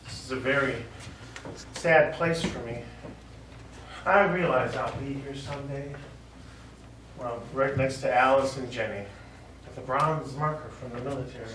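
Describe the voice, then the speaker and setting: A stage actor, a man, playing a reserved, grieving middle-aged American widower and war veteran, speaking in a halting, subdued way. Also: mid-range voice, a bit bright, close to an everyday voice. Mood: subdued grief. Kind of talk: live stage play dialogue. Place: small indoor theatre studio, recorded from audience distance. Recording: very echoey, distant, noisy stage recording.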